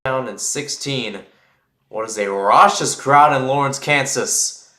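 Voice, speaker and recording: A man's voice: mid-range voice, takes it slowly, pushed and bright, reverberant. A man giving live play-by-play football commentary in an informal way, thinking aloud and correcting himself as he goes.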